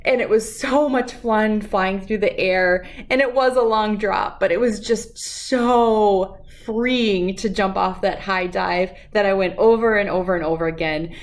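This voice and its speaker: A woman speaking as a children's read-aloud host, expressive and storybook-like, with drawn-out emphasis. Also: medium pitch. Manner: slow, animated delivery with drawn-out emphasis for young listeners.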